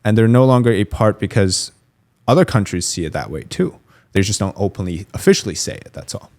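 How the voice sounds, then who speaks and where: A man's voice: lowish voice, expressive, brisk, a little mellow. A man as an interview guest on a podcast, explaining politics in a thoughtful, analytical way with many fillers and restarts. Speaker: guest commentator.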